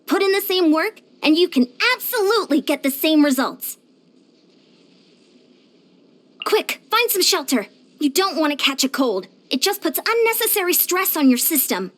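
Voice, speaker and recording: A woman's voice: sits high, very animated, moderate pace. A woman voicing a short, youthful female fitness coach in a video game, peppy, encouraging and earnest. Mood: earnest, motivating. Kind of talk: video game character voice lines.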